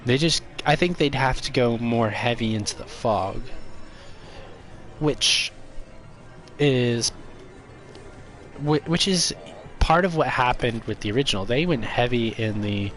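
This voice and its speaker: A man's voice: mid-range voice, unhurried, long pauses, faint music behind. A man streaming a video game, chatting casually and giving his opinions, with a stuffy, congested voice. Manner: relaxed, opinionated, chatty with viewers. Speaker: gaming streamer.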